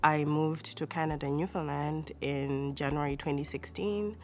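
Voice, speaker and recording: A woman's voice: deep voice, fairly even intonation, moderate pace, a little mellow, a little hiss or hum. A young woman with a Zimbabwean accent giving a personal testimony in a hesitant, reflective, conversational way. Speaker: interview guest. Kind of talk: personal testimony, casual storytelling.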